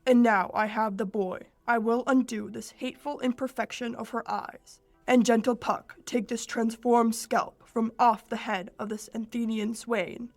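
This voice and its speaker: A young woman playing a proud fairy king, reciting Shakespearean verse in a measured, declamatory way for a radio play. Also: fairly high pitch, steady tone, dry recording. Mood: commanding. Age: teens or young adult.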